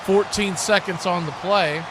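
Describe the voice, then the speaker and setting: A man's voice: high-pitched, steady tone, unhurried, noisy background. A man giving live play-by-play commentary on a football game.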